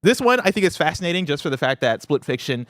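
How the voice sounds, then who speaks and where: A man's voice: on the high side, sing-song, fast talker, fairly bright tone, dry recording, studio quality. A man hosting a games news show, talking in a casual, analytical, conversational way. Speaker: podcast host.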